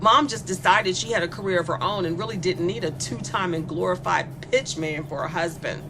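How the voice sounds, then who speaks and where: A woman's voice: fairly low pitch, a little fast, background noise, natural voice. A woman playing a spoiled, bored young female killer in a horror audio drama, confessing with sardonic, casually menacing glee. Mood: mocking.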